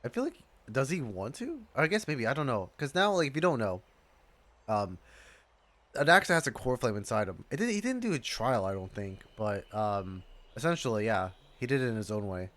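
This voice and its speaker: A man streaming casual game reaction commentary, haltingly repeating his phrases as he thinks aloud. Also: medium pitch, very animated, a bit bright. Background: game music.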